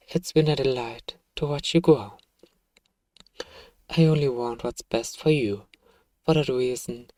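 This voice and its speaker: A man playing a self-righteous evil emperor, softly and coaxingly telling a bedtime story to a child in an ASMR roleplay. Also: medium pitch, dry recording, natural voice. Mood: doting, scheming.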